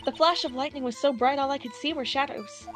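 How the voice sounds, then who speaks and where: A woman's voice: sits high, fairly quick. A woman voicing a young, eager, sincere girlish witness in an amateur game fandub. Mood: earnest. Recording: loud music behind the voice.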